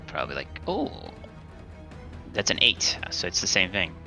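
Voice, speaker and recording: A man's voice: low-pitched, fairly quick, sharp tone, faint music behind. A man playing a tabletop roleplaying game, chatting casually in a laid-back, wryly resigned way.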